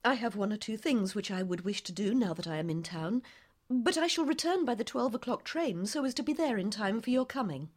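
A woman's voice: mid-range voice, expressive, brisk, natural voice. A woman playing a troubled, polite young lady in an audio drama, speaking formally and earnestly. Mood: earnest, relieved.

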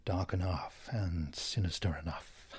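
A man playing a gentleman detective in an audio drama, cool, precise and imperturbable, with dry amusement. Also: low-pitched, unhurried, husky, exaggerated voice. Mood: pensive, analytical.

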